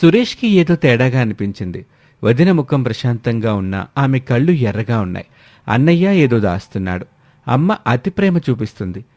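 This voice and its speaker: A man's voice: medium pitch, very animated, moderate pace, darkish tone. A man narrating a scripted family story as a dramatic storyteller.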